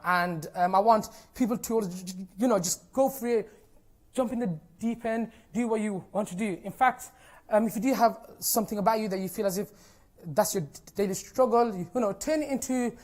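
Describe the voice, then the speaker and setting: A man's voice: high voice, darkish tone. A young man with a stammer, a teacher giving an informal, encouraging talk to an audience and using many fillers. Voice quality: stammer, with repeated sounds and blocked starts. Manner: many fillers such as "you know" and "like", earnest and encouraging.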